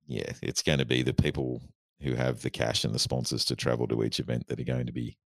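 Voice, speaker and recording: A man's voice: extremely low pitch, little pitch movement, fairly quick, no echo. A man, co-hosting a casual podcast chat in an easygoing way. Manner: easygoing, reads out figures. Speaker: podcast co-host.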